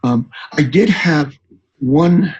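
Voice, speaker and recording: Halting man with a very husky voice. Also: a little high, a little mellow, muffled recording. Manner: halting, hesitant, repeating words. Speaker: guest author interviewed. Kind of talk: podcast interview conversation.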